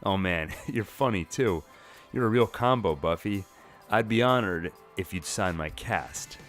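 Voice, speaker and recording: A man's voice: low-pitched, a little slow, fairly bright tone. A man voicing a friendly, playful teenage schoolboy in a comic recap, casual and teasing. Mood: amused, friendly. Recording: music bed behind the voice.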